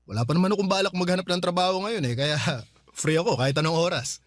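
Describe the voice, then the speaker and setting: Radio drama: patient, awkward older brother, Filipino accent, lightly high voice, dry close sound, hesitant. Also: male voice, lively intonation, quick pace, dry recording. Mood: awkwardly coaxing. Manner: coaxing, hesitant. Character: conciliatory older brother back from years abroad, patient, awkward.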